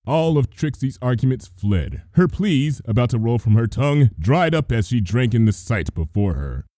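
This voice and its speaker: A man reading fan fiction aloud as expressive, dramatic story narration. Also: medium pitch, moderate pace, dry recording, studio quality.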